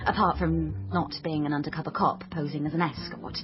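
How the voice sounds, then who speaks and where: A woman's voice: low voice, steady tone, brisk, lightly acted. Naive young woman played by an actress, radio drama noir narrator, self-deprecating, slightly muffled sound with music under. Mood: eager, proud. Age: young adult. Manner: earnest, awkward, self-deprecating.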